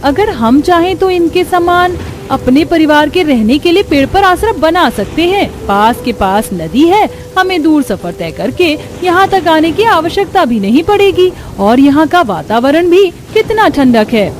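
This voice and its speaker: A woman's voice: high-pitched, very animated, a little slow, pitched above the performer's own voice. A woman voicing a resourceful, dutiful young village daughter-in-law in a cartoon story. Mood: eager.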